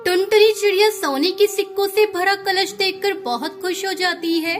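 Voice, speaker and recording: A woman narrator with a very high-pitched voice. She reads a scripted children's story. Background music is clearly present throughout. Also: steady tone, moderate pace.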